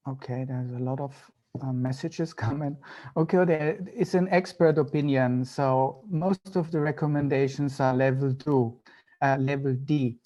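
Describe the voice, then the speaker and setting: A man with an Austrian accent, a native German speaker, giving an online medical lecture in halting, deliberate phrases. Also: a little high, dark tone. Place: video call.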